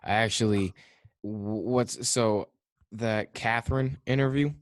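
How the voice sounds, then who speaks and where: A young man hosting a podcast, telling stories in a quick, offhand casual chat. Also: fairly low pitch, no room sound, clean studio sound. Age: young adult. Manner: quick storytelling, offhand, mimics others in quotes. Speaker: podcast host.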